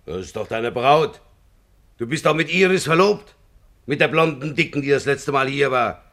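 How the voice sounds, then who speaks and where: An actor in a German radio play voicing a sea captain's needling brother with a husky voice. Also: male voice, mid-range voice, lively intonation, moderate pace, a bit bright. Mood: prodding.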